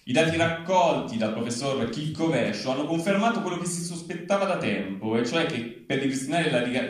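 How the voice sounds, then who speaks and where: A man with a slightly high voice delivers a satirical newscast with mock seriousness. The recording is very echoey and distant, with faint noise, as at a live reading in a big reverberant space. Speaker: reader at a live public reading.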